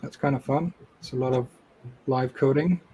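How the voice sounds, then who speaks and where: A man guest on a developer podcast, explaining things in an even, matter-of-fact way. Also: medium pitch, normal speed, slight background noise, poor audio. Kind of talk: casual interview talk.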